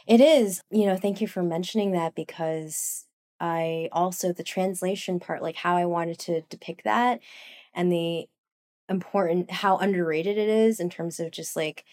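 A woman's voice: fairly low pitch, normal speed, a little mellow. A woman guest in a casual interview, talking in a rambling way with lots of fillers and frequent laughs. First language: Cantonese. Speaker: guest, comics artist.

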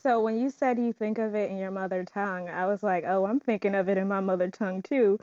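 A woman's voice: medium pitch, steady tone, quick pace, a little mellow, no room sound, highs cut off. A woman chatting casually and informally in a group discussion, sprinkling her talk with "like". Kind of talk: casual chat.

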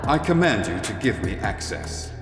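A man's voice: fairly low pitch, very animated, normal speed. A man playing an obedient, literal-minded spaceship computer in a science fiction audio drama, speaking formally and without emotion. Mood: flat, commanding. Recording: echoey, long reverb, music behind.

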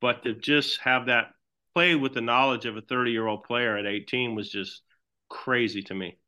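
A middle-aged man, a baseball team manager, answering questions in a relaxed, rambling way and repeating words. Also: medium pitch, moderate pace. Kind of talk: casual interview answers.

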